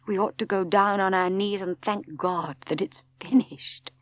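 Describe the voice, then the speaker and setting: An anxious young English wife speaks in soft, uncertain tones, played by a female audiobook reader. Her delivery is breathy and tentative, with a pleading edge. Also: mid-range voice, fairly even intonation, a little slow, dry recording, pitched above the performer's own voice, lightly acted. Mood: pleading, relieved. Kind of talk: audiobook character dialogue.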